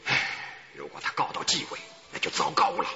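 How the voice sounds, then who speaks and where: An actor playing an anxious, self-serving company boss in a radio drama, hesitant and self-pitying, with sighs. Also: male voice, on the high side, huge pitch swings, a little fast, vocal fry, exaggerated voice. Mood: worried, reproachful. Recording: muffled, reverberant hall sound, faint music.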